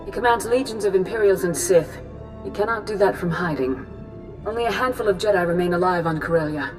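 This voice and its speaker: Woman as a low-voiced, solemn Jedi master. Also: a little mellow, close to an everyday voice. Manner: measured, solemn, authoritative. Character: stern, grave woman Jedi grand master giving orders. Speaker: military and spiritual leader. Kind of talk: dramatic scripted game acting. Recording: music behind the voice.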